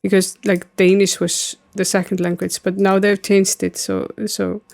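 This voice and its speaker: A woman's voice: mid-range voice, little pitch movement, unhurried, vocal fry, a little mellow. A woman in her thirties from Iceland with an Icelandic accent, chatting casually with viewers while she plays a game, with lots of ums and likes. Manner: rambling, many fillers, answering chat. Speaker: streamer. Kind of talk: casual gaming stream chat.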